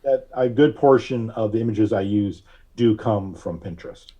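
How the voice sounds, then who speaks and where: A man's voice: on the low side, moderate pace, mellow, muffled recording. A man chatting casually as a guest in a podcast interview.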